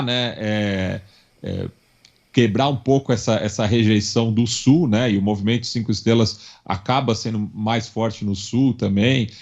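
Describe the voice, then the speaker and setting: A podcast co-host from São Paulo, this man speaks with a Paulistano accent in a fairly low register. He talks haltingly, with frequent fillers and restarts. The audio is dull and lo-fi. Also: darkish tone. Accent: Paulistano Brazilian Portuguese. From São Paulo, Brazil. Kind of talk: casual panel discussion.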